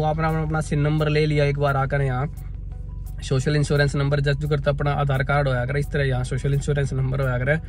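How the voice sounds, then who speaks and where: A young Haryanvi-accented man talks to his camera outside on a snowy day, a little hoarse from the cold weather. His voice sits somewhat high for a man, and his delivery is chatty and explanatory, often calling his viewers "brother." Faint music plays under the outdoor recording. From India. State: slightly hoarse throat. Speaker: vlogger. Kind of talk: casual vlog talk to camera.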